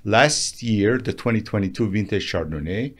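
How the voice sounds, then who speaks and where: A man's voice: lowish voice, expressive, normal speed, a bit bright. A middle-aged man giving emphatic, self-assured answers in a conversational interview, speaking close to a studio microphone. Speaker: guest, entrepreneur and winemaker. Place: small padded podcast studio. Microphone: close studio microphone on arm, headphones.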